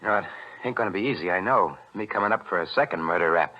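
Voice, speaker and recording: Man acting a tough low-voiced radio gangster. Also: quick pace, close to an everyday voice. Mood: wheedling. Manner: slangy tough-guy talk. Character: cornered, cold-blooded gangster killer, a tough talker. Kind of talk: 1940s radio thriller acting. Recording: muffled vintage radio broadcast.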